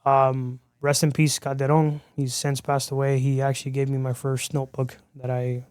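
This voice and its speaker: A man's voice: mid-range voice, moderate pace, clear, resonant tone, no echo, studio quality. A man from Miami, a podcast guest, telling his story in a casual interview and acting out quoted dialogue as he goes. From Miami, Florida. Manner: animated storyteller who acts out quoted dialogue.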